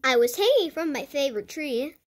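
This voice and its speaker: A woman reading a picture book aloud to children in an animated storytelling style. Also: high voice, fairly quick. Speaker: children's storybook reader and host. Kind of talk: animated read-aloud narration for kids.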